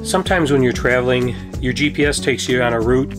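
A man's voice: medium pitch, fairly quick, loud music behind the voice. A middle-aged man chatting casually as a travel vlogger, standing outdoors by his trailer. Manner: chatty, loose, repeats himself. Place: outdoors beside an RV on a desert overlook. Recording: distant outdoor mic with background noise.

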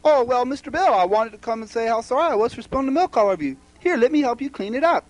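High-voiced, falsely friendly puppet sidekick. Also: male voice, animated, quick pace, background noise. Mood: fake friendly. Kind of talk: homemade puppet comedy skit.

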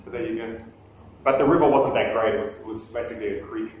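A man's voice: on the low side, steady tone, fast, heavy background noise. Man speaking in an echoey room. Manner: conversational, self-deprecating humor, frequent restarts. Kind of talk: live talk with questions. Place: small meeting room, indoors. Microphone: far camera on table. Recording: echoey room, distant camera mic.

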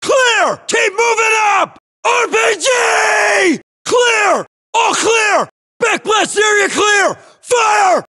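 A man's voice: very high voice, lively intonation, drawn-out words, pushed and bright, shouting, a faint crowd, exaggerated voice. An actor playing a US Marine infantryman in a military shooter game, barking urgent battle orders and callouts. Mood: commanding. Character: young hard-charging US Marine infantryman in battle.

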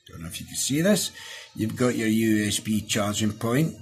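A man's voice: fairly low pitch, animated, normal speed, faint music behind. A middle-aged Scottish man giving a casual, chatty, down-to-earth hands-on product review. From Scotland. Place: small indoor room at a desk.

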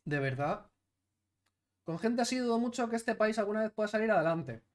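A man's voice: high voice, lively intonation, brisk. A man in his thirties from Catalonia giving indignant, emphatic commentary on clips, seated in a small room. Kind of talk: opinionated commentary video. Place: small room with blue LED lights, indoors.

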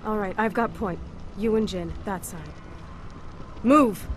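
An actress playing a young, confident female soldier leading a squad in a video game, brisk and plain-spoken. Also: medium pitch, noisy background, natural voice. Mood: urgent. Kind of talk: naturalistic video game acting. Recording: game music behind.

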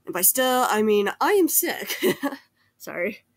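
A woman's voice: medium pitch, very animated, slow, no echo. A woman who is sick with a cold gives a casual, rambling art critique over a screen recording, hesitating and restarting often. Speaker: art critique video host.

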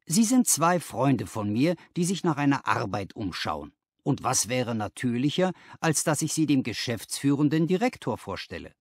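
Man playing brisk, eager young clerk, animated pitch. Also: slightly rough, no room sound, clean studio sound. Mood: cheerful. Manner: lively, helpful, emphatic.

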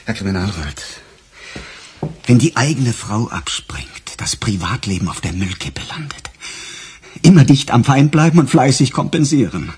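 An actor playing a sarcastic, embittered police detective in a radio crime drama, defensive and emphatic, with a husky voice. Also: male voice, medium pitch, very animated, moderate pace, a little mellow, a little hiss or hum, lightly acted. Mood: indignant, bitter.

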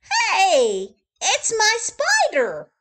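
A woman reading a storybook aloud, voicing an excited young boy in a high, shouting voice. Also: very slow, full character voice.

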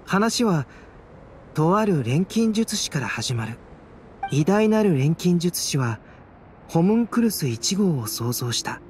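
A man playing a calm, cerebral young alchemist in a Japanese anime game, speaking in a measured, soft-spoken, enigmatic way. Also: on the high side, slight background noise. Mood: solemn storytelling. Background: background music.

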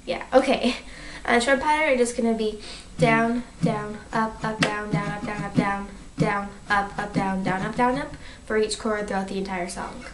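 A woman's voice: mid-range voice, fairly even intonation, normal speed, roomy sound. A young woman with a cold, sniffling as she gives a casual guitar tutorial from her bedroom. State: sick, sniffling. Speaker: guitar teacher. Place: small bedroom.

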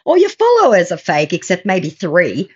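A woman's voice: on the low side, huge pitch swings, moderate pace, husky, muffled recording. Sarcastic woman scolding over a call. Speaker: scambaiter. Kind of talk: confrontational phone call. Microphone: phone on a video call.

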